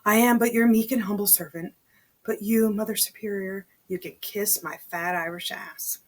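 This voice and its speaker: A woman's voice: medium pitch, fairly even intonation, moderate pace, lightly acted. A woman voicing a feisty, irreverent elderly nun who mutters wryly, in a story read aloud. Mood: wry defiance. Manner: muttering, wry.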